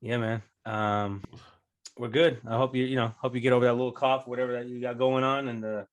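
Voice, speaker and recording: A man's voice: medium pitch, little pitch movement, fairly quick. A man co-hosting a soccer podcast from home, chatting in an easygoing way with plenty of "you know" and "man". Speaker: podcast co-host. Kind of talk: casual podcast chat.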